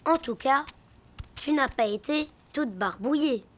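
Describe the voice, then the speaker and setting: A woman's voice: high-pitched, lively intonation, measured pace, a bit bright, a little hiss or hum. A woman voicing a naive, good-natured little boy hippo in a children's cartoon, innocent and earnest. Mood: sheepish. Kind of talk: animated children's cartoon acting.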